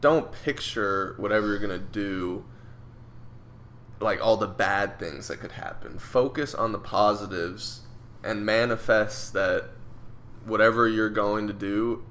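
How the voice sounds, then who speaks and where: A man's voice: on the low side, measured pace, a bit bright, slight background noise. A young man co-hosting a casual podcast, speaking in a thoughtful, agreeable way. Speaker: podcast co-host. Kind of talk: casual chat.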